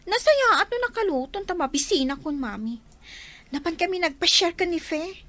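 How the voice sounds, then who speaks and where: An actress playing a lazy, whiny young student in a Filipino radio drama, with a Filipino accent. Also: female voice, very high-pitched, animated, moderate pace, a bit bright. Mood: sulky, defiant.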